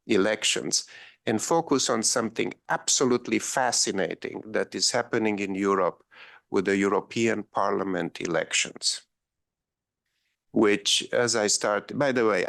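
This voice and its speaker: A man's voice: fairly low pitch, normal speed, vocal fry, a bit bright, no echo. A man from Romania giving a policy panel talk, with frequent ums and wry asides. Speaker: panel speaker.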